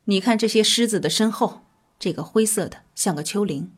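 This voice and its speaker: A woman playing a calm, earnest scientist, explaining in a measured way in an audio drama. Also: mid-range voice, breathy edge, natural voice. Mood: measured, serious. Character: calm, earnest female scientist with mystical faith.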